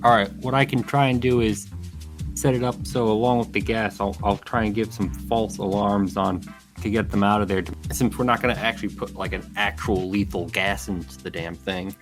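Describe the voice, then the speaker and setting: Low-voiced male gamer over clear music. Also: steady tone, moderate pace. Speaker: tabletop game player. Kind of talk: casual table talk.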